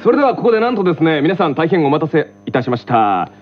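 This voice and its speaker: A fast-talking man with a lively, varied pitch runs segments with polite announcer phrasing. The recording is dull and lo-fi, with faint music behind. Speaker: radio show co-host. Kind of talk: casual radio talk.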